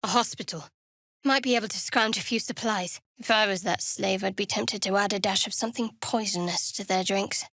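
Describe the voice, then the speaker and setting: A woman playing a guarded, devout young cleric in a video game, with a measured, dry and wry delivery. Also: medium pitch, a bit bright, no echo. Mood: wry, musing. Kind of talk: video game character voice lines.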